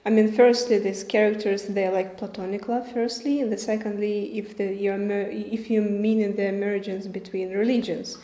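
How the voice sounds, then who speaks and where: Young woman director, halting Q&A answers; mellow tone, echoey room. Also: medium pitch, little pitch movement. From Ukraine. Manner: halting, searching for words, many fillers. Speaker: film director. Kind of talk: festival Q&A answers. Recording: roomy with faint music behind.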